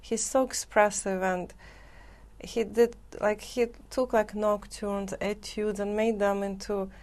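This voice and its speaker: A woman's voice: medium pitch, flat delivery, slow, a little mellow. A middle-aged woman from Moscow with a Russian accent, talking casually and earnestly as an interview guest, often saying "like". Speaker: guest, concert pianist. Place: TV studio, seated indoors.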